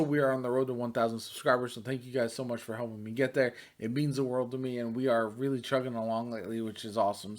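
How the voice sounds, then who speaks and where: Male gaming vlogger, medium pitch, conversational and chatty reaction commentary. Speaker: gaming YouTuber. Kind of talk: casual reaction and commentary to camera.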